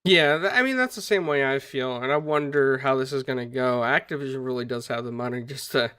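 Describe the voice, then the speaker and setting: Man, podcast host, upbeat, crisp voice, dry close mic. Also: medium pitch, fairly quick, no room sound. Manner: upbeat, shouts out viewers. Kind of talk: casual gaming podcast chat.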